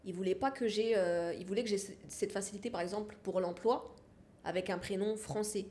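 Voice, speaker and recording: A woman's voice: mid-range voice, fairly even intonation, fairly quick, warm tone. A woman from France, a podcast guest, talking frankly in a casual interview and repeating her phrases for emphasis.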